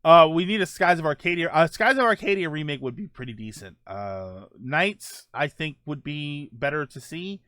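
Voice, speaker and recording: A man's voice: fairly high pitch, lively intonation, normal speed, hardly any pauses, sharp tone, dry recording. A man hosting a casual gaming livestream, talking in a playful, rambling, conversational way as he answers viewers. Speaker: host of a gaming show and livestreamer. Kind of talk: casual livestream chat about video games, answering viewer comments.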